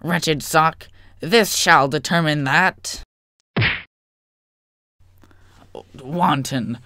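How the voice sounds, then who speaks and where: A man's voice: a little high, takes it slowly, bright, forceful tone, no echo, clean studio sound, heavily acted. A man playing a cocky, quarrelsome young sock puppet with a sarcastic, theatrical manner. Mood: menacing.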